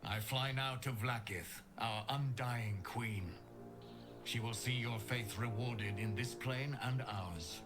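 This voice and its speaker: A man's voice: medium pitch, slow pace, loud music behind the voice, lightly acted. An actor playing a solemn, zealous githyanki knight in a fantasy game, speaking with reverence for his queen. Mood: reverent. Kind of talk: fantasy video game acting.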